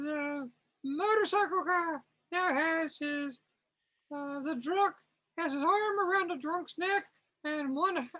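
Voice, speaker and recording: A woman with a very high voice describes scenes in a slow, improvised fashion. She sounds wry and amused, casual in her narration. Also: sharp tone, low-quality recording. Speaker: narrator of a comic reading.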